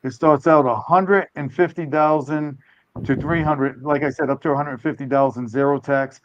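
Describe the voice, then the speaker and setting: A man's voice: fairly high pitch, fairly even intonation, normal speed, highs cut off, slight background noise. An older man from the United States hosting a live stream, rambling, hedging and correcting himself in casual chat. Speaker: host.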